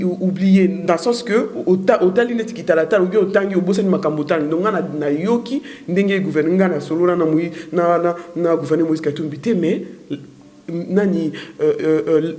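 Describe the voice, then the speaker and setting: A woman's voice: fairly low pitch, warm tone. Congolese woman, emphatic and repetitive. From Democratic Republic of the Congo. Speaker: interview guest. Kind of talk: political and religious discussion.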